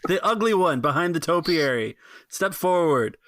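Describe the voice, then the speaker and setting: A man's voice: a little high, animated, normal speed, fairly bright tone, no room sound, pitched above the performer's own voice. A man voicing an officious, suspicious gate guard in a tabletop game, challenging and questioning. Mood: suspicious, commanding.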